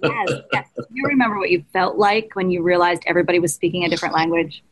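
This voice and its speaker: A woman interviewing a guest, asking quick questions between short affirmations. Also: fairly low pitch, slight background noise, poor audio.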